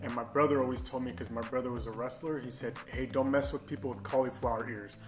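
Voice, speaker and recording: A man from Iran, guest in a casual interview, telling his story in a relaxed, easygoing way. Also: medium pitch, fast talker, echoey room, faint music behind. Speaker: guest, martial arts gym owner.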